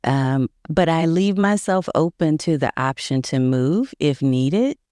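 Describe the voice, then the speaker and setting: A woman's voice: deep voice, lively intonation, measured pace, dark tone, no echo, clean studio sound. A woman, a video marketing coach, speaking as a guest on a podcast in an upbeat, casual way, laughing easily. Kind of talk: casual interview.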